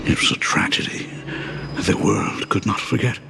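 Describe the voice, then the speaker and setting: An actor voicing a middle-aged, dignified academy dean and grieving father, formal and deliberate, in a video game. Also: fairly low pitch, creaky, background noise. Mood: mournful. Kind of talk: dramatic video game voice acting. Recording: loud music under the voice. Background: game music.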